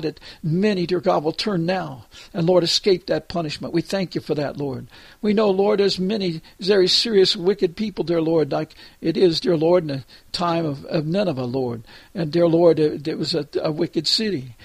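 An older man prays aloud in an unbroken stream, tucking "dear Lord" between nearly every phrase and stumbling now and then. His voice is gravelly and husky, set a little high for a man, and the recording is dry and close. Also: a little mellow, dry recording. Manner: halting, with restarts and fillers. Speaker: prayer leader. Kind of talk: extemporaneous spoken prayer.

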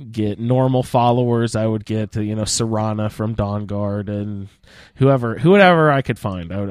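A man hosting a casual gaming roundtable podcast, speaking in halting phrases with searching pauses. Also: lowish voice, a little mellow, dry recording, clean studio sound. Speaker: podcast host. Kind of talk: casual roundtable chat about a video game.

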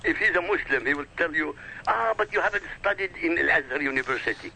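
Arabic-native man, insistent lecturing, bright gravelly voice, breathy edge. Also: a little high, animated, quick pace, rough recording. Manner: insistent, lecturing, emphatic repetition. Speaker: guest. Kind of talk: radio talk show argument.

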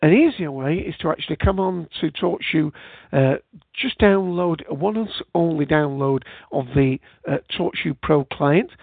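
A man's voice: on the high side, lively intonation, measured pace, creaky, no echo. A British man hosting a casual podcast into a USB headset, rambling with frequent fillers. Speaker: podcast host. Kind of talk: casual solo podcast talk. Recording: tinny phone-like line.

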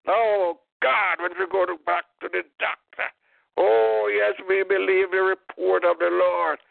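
A man's voice: high voice, level tone, unhurried, creaky, no echo. A man leading a fervent intercessory prayer, impassioned, repeating "oh God" and "in the name of Jesus". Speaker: prayer leader. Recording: tinny phone-line prayer call.

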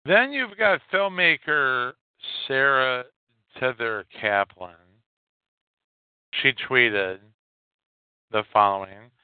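A male podcast host talks through the news casually and haltingly, taking his time between phrases. His voice is smooth and fairly low, heard through a narrow, phone-like connection. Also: animated, no echo. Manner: halting, hesitant delivery with pauses. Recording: narrow phone-like audio, dry.

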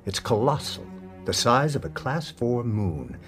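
A man's voice: medium pitch, sing-song, measured pace. An actor playing an arrogant, ambitious imperial nobleman in a space-opera radio drama, speaking in a pompous, formal, theatrical way. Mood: grandiose. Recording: music behind the voice.

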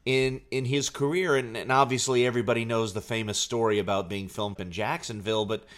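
A man co-hosting a podcast in casual chat, with a halting way of talking that repeats words. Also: mid-range voice, steady tone, fairly bright tone. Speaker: podcast co-host, Elvis tribute artist.

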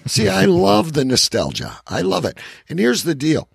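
A man co-hosting a casual podcast, chatting in a blunt, profane, opinionated way with a gravelly, husky voice. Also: fairly high pitch, huge pitch swings, moderate pace, dry recording, clean studio sound. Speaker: podcast co-host. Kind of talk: casual banter about wrestling and movies.

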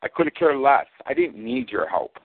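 A man's voice: fairly high pitch, wildly swooping pitch, moderate pace, gravelly, slightly rough. A man cutting a sarcastic, mocking wrestling promo, taunting his rival as champion. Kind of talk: trash-talking wrestling promo over a call. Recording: tinny phone line.

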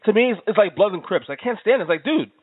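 A man's voice: sits high, lively intonation, fast talker, breathy edge, no room sound. A man hosting a casual sports talk show, stammering and laid-back. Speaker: podcast host. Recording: phone-like lo-fi audio.

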